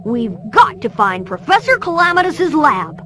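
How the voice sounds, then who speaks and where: A woman's voice: sits high, very animated, a little slow, pushed and bright, full character voice. A woman voicing a brainy, earnest boy inventor in a cartoon video game, eagerly explaining technical plans. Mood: determined.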